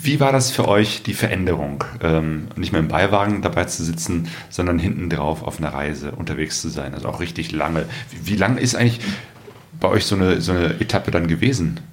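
A man with a low voice works as a podcast interviewer. He puts his questions casually and conversationally, as if chatting with his guests. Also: a little fast.

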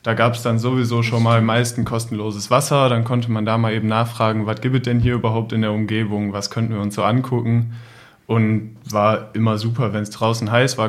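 A young man casually recalling travels as a podcast guest. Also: lowish voice.

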